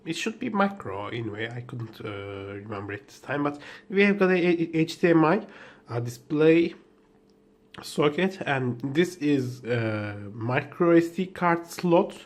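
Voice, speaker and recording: A man whose first language is Turkish, unboxing gadgets in a halting, filler-heavy way, just woken up. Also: on the high side, lively intonation. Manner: hesitant, halting, with many fillers and restarts. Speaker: tech vlogger. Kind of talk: unboxing commentary.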